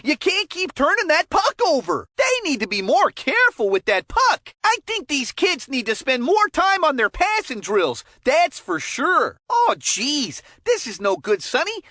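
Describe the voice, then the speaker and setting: A woman voicing an excitable, chummy ex-player color commentator in a cartoon hockey game, with folksy, chatty delivery and mild exclamations. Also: high-pitched, a little slow, few pauses, dry recording, studio quality, heavily acted. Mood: dismayed.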